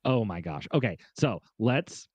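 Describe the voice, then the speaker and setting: A man co-hosting a casual chat, talking excitedly and emphatically, repeating himself, a bit congested from a cold. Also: on the low side, unhurried, dry recording. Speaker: podcast co-host. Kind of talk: animated casual chat about pop culture.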